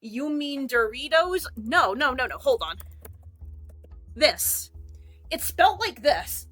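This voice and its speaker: A woman's voice: high-pitched, sing-song, takes it slowly, fairly bright tone, faint music behind. A woman streamer in casual rambling chat, jokey and self-mocking.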